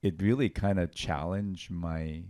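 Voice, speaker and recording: A man with a very deep, low voice, speaking on a panel. He is from Taiwan and talks about his film thoughtfully, often pausing and restarting mid-sentence. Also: steady tone, dark tone. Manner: thoughtful, hesitant, many fillers and restarts. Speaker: film director. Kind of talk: panel Q&A conversation.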